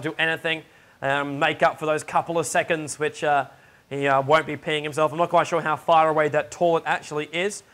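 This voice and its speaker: A young man with a raspy voice, chatting and joking as an esports shoutcaster from his home studio. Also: on the high side. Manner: chatty and joking, with many fillers. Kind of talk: casual solo commentary during a game pause.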